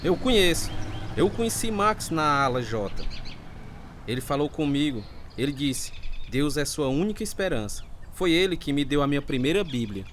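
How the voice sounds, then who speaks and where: A man's voice: fairly high pitch, very animated, normal speed, a bit bright, slight background noise. A man with a Brazilian accent playing a troubled, earnest reformed alcoholic, narrating and acting in a radio drama. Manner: earnest, confessional. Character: reformed alcoholic ex-prisoner telling his story, troubled but earnest. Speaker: narrator and lead character.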